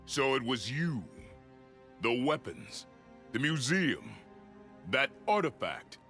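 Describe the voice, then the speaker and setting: A man playing a gruff, confident creature hero in a video game, terse and tough in his delivery. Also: medium pitch, very animated, slow pace, heavily acted. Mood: stern, confrontational. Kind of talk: video game cutscene acting. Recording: loud music under the voice.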